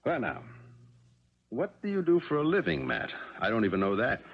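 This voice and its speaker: An actor playing a genial but probing middle-aged wealthy father in a 1970s radio drama, urbane and deliberate. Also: medium pitch, sing-song. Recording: dull 1970s radio recording.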